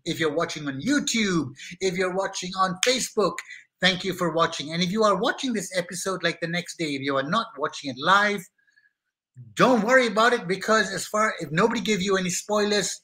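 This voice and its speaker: Bright, lively Malaysian man hosting a livestream. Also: sits high, rough recording. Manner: energetic and hyper, greeting viewers by name. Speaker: host of a live online talk show. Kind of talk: casual live-stream chat with viewers. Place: small indoor studio set with brick wall.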